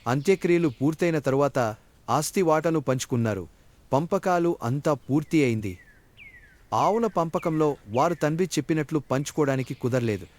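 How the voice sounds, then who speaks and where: A man's voice: on the high side, animated, moderate pace, darkish tone. A man narrating a scripted moral story for children as a storyteller.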